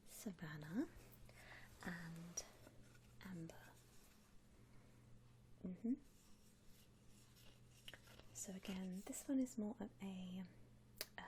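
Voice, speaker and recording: A woman whispering breathily in an ASMR roleplay as a polite shop assistant, gently reassuring a customer with hesitant, accommodating patter. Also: medium pitch, noisy background. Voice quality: breathy, mostly unvoiced whisper. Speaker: ASMR roleplayer playing a coffin shop saleswoman.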